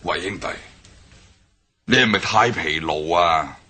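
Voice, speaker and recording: An actor playing a stern, calm elderly gang boss in a radio drama, speaking with a Cantonese accent and a husky, breathy voice. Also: male voice, deep voice, slow pace, exaggerated voice. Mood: concerned. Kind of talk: 1960s-style radio drama acting.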